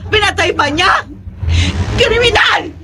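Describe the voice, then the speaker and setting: A woman's voice: extremely high pitch, animated, takes it slowly, heavily acted. An actress playing a furious, grieving mother hurling bitter accusations in heated radio drama. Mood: enraged.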